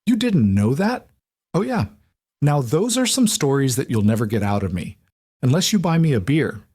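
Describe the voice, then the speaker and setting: A man's voice: medium pitch, very animated, moderate pace, a little mellow, breathy edge, dry recording, studio quality, voice pushed up. A man voicing a goofy, chatty male office neighbor who rambles and cracks jokes.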